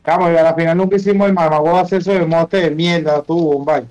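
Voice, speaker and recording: A high-pitched Venezuelan man directs his teammates during an online game. He is bossy and slangy and repeats his commands, with faint music underneath. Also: steady tone, quick pace, a little mellow, muffled recording. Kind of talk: casual game callouts. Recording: music behind the voice.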